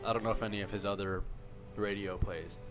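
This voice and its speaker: A man's voice: lowish voice, steady tone, a little fast, music in the background. A man hosting a casual behind-the-scenes group chat, talking in a rambling, explanatory way. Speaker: host of a radio-drama group.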